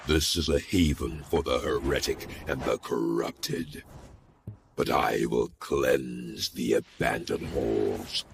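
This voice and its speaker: An actor playing a grim, zealous inquisitor delivering a solemn, menacing monologue in a game. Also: male voice, takes it slowly, a little hiss or hum, heavily acted. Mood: grim resolve. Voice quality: very deep, gravelly. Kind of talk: dramatic scripted game monologue.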